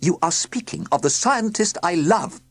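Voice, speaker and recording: An earnest, lovestruck headmaster who speaks with dramatic sincerity, as a reader puts him on, pitched somewhat up and husky. He sounds like a hero in a children's storybook. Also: expressive, moderate pace.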